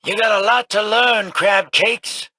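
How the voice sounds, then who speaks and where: An actor playing a cocky, roguish smuggler who talks in a slangy drawl in a video game. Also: male voice, high-pitched, fairly even intonation, a little slow, pushed and bright, no echo, heavily acted. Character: scrappy roguish male smuggler, cocky and wry.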